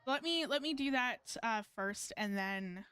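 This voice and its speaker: A woman's voice: fairly high pitch, animated, measured pace, sharp tone, smooth, no room sound, faint music behind. A woman chatting casually on a game livestream in a rambling, self-correcting way. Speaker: VTuber game streamer. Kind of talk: casual livestream chat while gaming.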